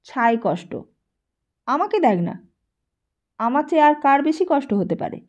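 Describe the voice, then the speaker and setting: A woman's voice: sits high, very animated, measured pace, a little mellow, highs cut off. A woman voicing a pushy, scornful teenage boy who badgers and dismisses in an audiobook story. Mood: skeptical.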